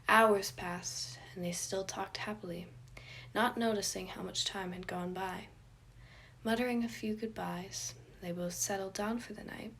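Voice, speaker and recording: A woman reading scripted fiction as a narrator, with a slightly husky, breathy voice. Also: mid-range voice, a little hiss or hum. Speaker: podfic narrator.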